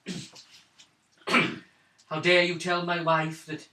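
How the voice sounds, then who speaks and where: A man plays a wounded young clanswoman in a tabletop fantasy game, shaken and weary. The delivery is halting and pleading, with a bright, forward edge. It is caught from off the mic in a roomy, hissy space. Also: high-pitched, completely flat delivery, distant mic, exaggerated voice. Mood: weary, bitter. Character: wounded, shaken young woman of a wandering clan.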